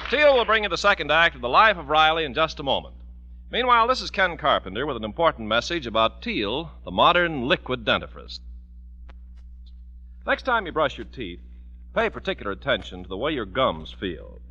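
A male announcer in 1940s radio style, emphatic and polished. His bright voice swings widely in pitch as he reads scripted copy, with the dull sound of an old broadcast. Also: big pitch swings, brisk.

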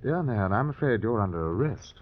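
A man's voice: lowish voice, expressive, a little fast. An actor playing a patient, condescending English police inspector in colonial times, with old-boy politeness, in radio drama style. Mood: questioning. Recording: muffled old radio recording.

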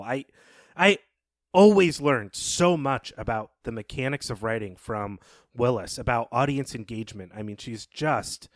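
Podcast guy talking books, opinionated and emphatic, chatty, middle pitched voice, really dry audio. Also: dry recording. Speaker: podcast co-host. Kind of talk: conversational literary analysis.

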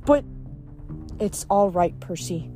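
A woman voicing an earnest, easily awed young heroine in a story, halting and exclaiming in astonishment. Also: medium pitch, expressive, warm tone, soft background music.

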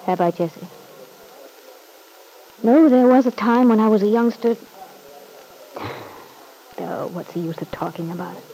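A woman's voice: medium pitch, measured pace, long pauses, dark tone, natural voice, poor audio. An actress playing a ruthless, scornful young mother in a vintage radio soap, cutting and coolly self-assured. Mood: cold, defiant. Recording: old radio recording with faint hiss.

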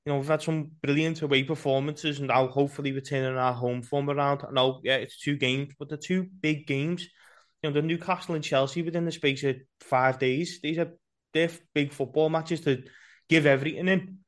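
Higher-voiced Scouse man hosting fan chat. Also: level tone, fairly quick. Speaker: football podcast host.

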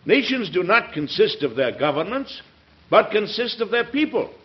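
An actor playing an idealistic president giving an earnest, oratorical public speech in a radio drama. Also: male voice, high-pitched, sing-song, normal speed. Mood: earnest, rousing. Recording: dull old radio recording.

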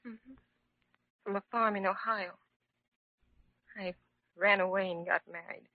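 A woman's voice: mid-range voice, steady tone, measured pace, no room sound, close to an everyday voice. A woman playing a distraught young American mother, hesitant and plaintive, in an old-time radio drama. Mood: anxious, weary. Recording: muffled vintage radio recording.

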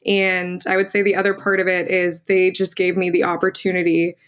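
A woman's voice: on the low side, completely flat delivery, brisk, fairly bright tone. A woman in her twenties whose first language is Armenian, answering interview questions candidly as a young lawyer. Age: 20s. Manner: candid, earnest, with fillers. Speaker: guest lawyer.

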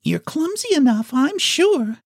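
A man's voice: extremely high pitch, sing-song, unhurried, no room sound, clean studio sound, pitched above the performer's own voice, exaggerated voice. A man voicing an outspoken, indignant little girl in a children's story, frank and reproving.

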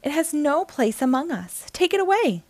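A woman's voice: high voice, sing-song, normal speed, no echo, pitched above the performer's own voice. A woman voicing a stern, commanding female alien leader in a storybook read-aloud, imperious and disapproving. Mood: appalled, stern.

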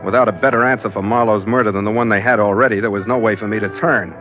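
An actor playing a terse, wry, world-weary ship's skipper in an old hardboiled radio drama. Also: male voice, fairly low pitch, fairly even intonation, rapid-fire, soft background music, natural voice. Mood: grim. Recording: muffled vintage radio recording.

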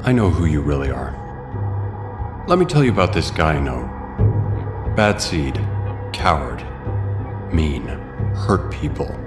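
An actor playing a brooding, secretive male vigilante in an audio drama, speaking tersely and gravely. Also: rumbling low, very animated, unhurried, pauses often, exaggerated voice. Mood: menacing. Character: brooding masked male vigilante, secretly a troubled rich man. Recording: echoey, loud music underneath.

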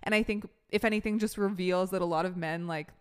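A woman's voice: lowish voice, fairly even intonation, a little fast, clean tone. A woman about to turn thirty-one, talking candidly in a casual podcast monologue, full of "like" and casual swearing. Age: 30s. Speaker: podcast host.

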